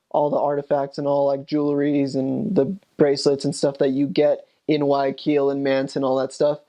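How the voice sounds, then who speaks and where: A man's voice: medium pitch, a little mellow. A young man, a returned missionary, recalling his mission in a rambling, casual way with many fillers and restarts. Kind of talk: casual recollection.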